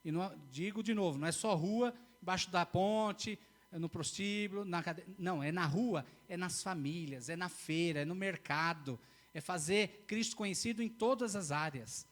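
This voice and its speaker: A man with a high voice preaches in a church. He speaks earnestly and repeats his key phrases for emphasis, often breaking off to restart a sentence. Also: normal speed. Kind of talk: sermon.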